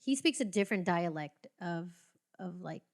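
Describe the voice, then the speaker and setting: A woman's voice: lowish voice, expressive, normal speed, creaky. A young American woman, a podcast guest, chatting casually with lots of "like" and frequent laughter. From United States. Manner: chatty, laughs often, heavy use of "like". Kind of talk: casual chat.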